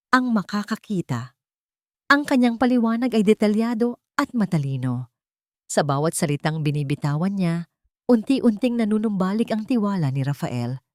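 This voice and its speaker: A woman's voice: fairly low pitch, no echo, studio quality. A woman reading a scripted dramatic story as its narrator.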